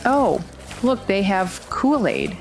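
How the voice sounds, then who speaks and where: A woman's voice: medium pitch, wildly swooping pitch, takes it slowly, faint music behind, heavily acted, poor audio. A woman playing a determined, wary young runner in a naturalistic audio drama, with a dry, skeptical, conversational manner. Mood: wary, wry.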